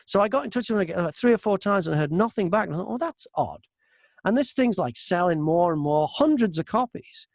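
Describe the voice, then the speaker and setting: A man chatting casually in an interview as a narrator, telling stories in an animated, talkative way from inside a wardrobe booth. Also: high voice, fast talker, vocal fry, no echo, clean studio sound. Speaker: audiobook narrator and voice-over artist, interview guest.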